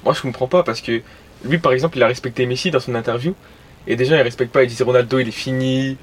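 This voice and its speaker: Young man chatting quickly, slangy and plain-spoken. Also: medium pitch, steady tone. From born in Portugal, living in France since childhood. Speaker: passer-by guest. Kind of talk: casual opinion chat, slangy. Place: live street show in a van. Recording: faint noisy street ambience.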